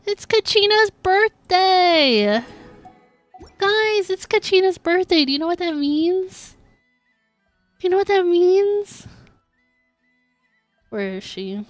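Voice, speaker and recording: A woman's voice: very high-pitched, big pitch swings, takes it slowly, pauses often, dry recording, soft background music. A woman livestreaming a game, chatting casually and informally with her viewers. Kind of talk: casual chat while streaming gameplay.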